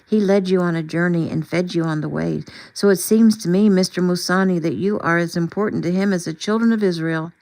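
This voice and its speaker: A woman's voice: lowish voice, a little fast, gravelly, hardly any pauses, a little mellow, low-quality recording. A woman voicing an earnest, devout woman doctor with an effusive, encouraging way of talking in an audiobook-style story. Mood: reverent, encouraging.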